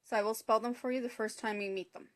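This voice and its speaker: A woman with a Canadian accent, her voice pitched a little high, hosts a live cooking stream from her home kitchen. She is chatty and apologetic, greeting viewers by name as she explains each step. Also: steady tone, quick pace, a bit bright. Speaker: cooking show host.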